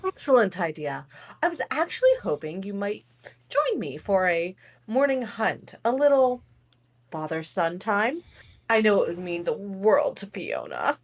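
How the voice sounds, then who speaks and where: A woman's voice: mid-range voice, huge pitch swings, a little slow, exaggerated voice. A woman reading the part of an anxious, overly polite king who wants a fresh start, in a table read. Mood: ingratiating. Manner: overly polite, eager to please. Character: pompous male king, anxious to make amends.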